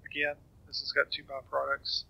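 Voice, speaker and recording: A man with a raspy voice giving a casual, unscripted game walkthrough, hesitant and trailing off as he goes. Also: fairly high pitch, monotone, normal speed, dull audio. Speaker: gamer giving a tutorial.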